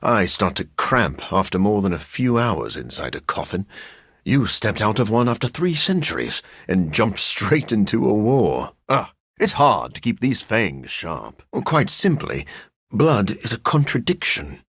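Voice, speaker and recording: A weary, cerebral vampire scientist for a video game. His low-set male voice is husky and swings freely in pitch as he delivers formal, measured lines with dry gloom. The recording is close and dry. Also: big pitch swings, a bit bright, no room sound, heavily acted. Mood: brooding. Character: brooding vampire scientist man, cerebral, dryly weary antihero.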